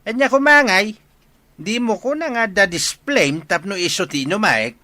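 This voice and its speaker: An actor playing a rude, pushy intruder in an Ilocano radio drama, brusque and arrogant. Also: male voice, high-pitched, expressive, moderate pace, pushed and bright, no echo. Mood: rude, irritated. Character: rude, pushy male intruder demanding a drink.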